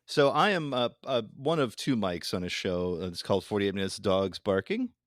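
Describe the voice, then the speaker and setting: A man's voice: lowish voice, lively intonation, normal speed, no room sound, studio quality. A man chatting casually and playfully as a guest on a podcast.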